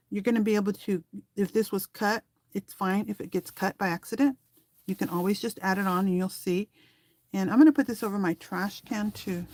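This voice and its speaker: Woman doing a diy craft tutorial, medium pitch, chatty and kinda rambly, thinks out loud, no echo. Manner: rambling, conversational, thinking aloud while working. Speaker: craft tutorial host and small online shop seller. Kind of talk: casual hands-on craft tutorial chat.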